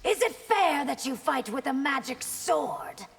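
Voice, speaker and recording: An actress playing a regal, playful, faintly predatory fantasy empress in a video game, raspy, measured and teasing. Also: female voice, sits high, expressive, exaggerated voice. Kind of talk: video game fight banter. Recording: reverberant game hall sound.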